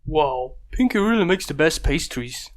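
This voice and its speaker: A man's voice: a little high, lively intonation, normal speed, close to an everyday voice. A man doing a chatty young baby dragon.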